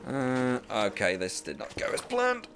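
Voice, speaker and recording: A man's voice: mid-range voice, huge pitch swings, a little slow, background noise. A Norwegian man with a sore throat, chatting casually and rambling while streaming a game, with faint music behind him. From rural Western Norway. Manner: chatty, rambling, many restarts. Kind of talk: casual chat while gaming.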